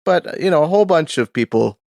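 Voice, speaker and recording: A man's voice: on the high side, animated, a little fast, vocal fry, darkish tone, no room sound, studio quality. A man co-hosting community radio, chatting casually in an offhand, rambling way. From Canada. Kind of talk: casual chat.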